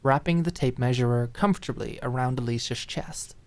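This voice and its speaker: A woman's voice: very deep voice, fairly quick, a little mellow. A woman reading scripted dramatic narration as an audiobook narrator.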